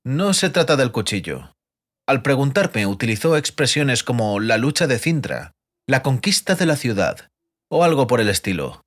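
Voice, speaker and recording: An actor playing a curious, witty bard with a theatrical, inquisitive manner in a fantasy audiobook. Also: male voice, mid-range voice, very animated, normal speed, a bit bright. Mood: earnest, insistent. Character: curious male bard poet, uneasy but witty. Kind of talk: dramatized fantasy audiobook dialogue.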